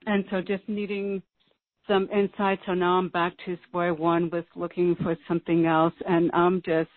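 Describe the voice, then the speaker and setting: A woman's voice: on the low side, little pitch movement, normal speed. A woman caller who is losing her voice, speaking in a tired, hesitant way. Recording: phone line with faint noise.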